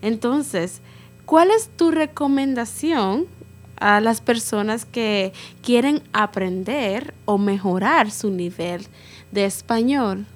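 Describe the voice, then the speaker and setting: A woman hosts a podcast at an easy, slow pace, with a slightly high pitch. She asks questions and repeats back her guest's answers with encouragement. Faint music plays underneath her voice. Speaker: podcast host. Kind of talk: casual interview.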